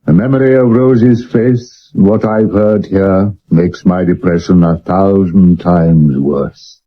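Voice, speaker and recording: A man's voice: sits low, slow pace. A man acting a vain, middle-aged Victorian thespian and amateur sleuth with a British accent and a grand, self-dramatising manner, in a 1970s radio drama. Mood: appalled, melancholy. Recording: dull medium-wave radio recording.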